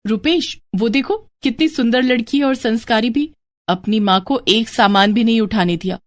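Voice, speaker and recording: A woman's voice: on the high side, moderate pace, natural voice. A woman playing a caring, persistent married sister-in-law in a moral story, earnest and coaxing. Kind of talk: Hindi moral story character voice.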